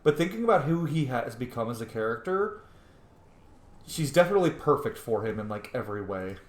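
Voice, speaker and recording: A man's voice: mid-range voice, very animated, a little fast. A man giving casual, jokey playthrough commentary on a video game, slangy and chatty. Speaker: video game streamer and role-player. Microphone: microphone. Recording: echoey room.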